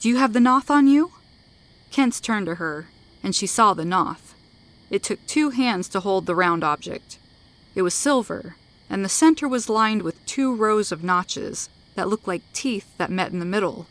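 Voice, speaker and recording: A woman's voice: mid-range voice, expressive, measured pace, a bit bright. A woman narrating scripted sci-fi fiction in a dramatic storytelling style, close to the microphone.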